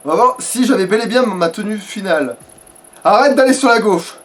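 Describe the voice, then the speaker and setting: Man, high voice, very lively intonation, crude joking gaming commentary, casual chat. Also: a little fast, far from the mic. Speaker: let's player.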